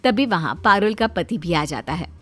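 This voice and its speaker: A woman narrating a scripted storybook tale in an expressive, clear storytelling manner. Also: on the low side, normal speed, soft background music.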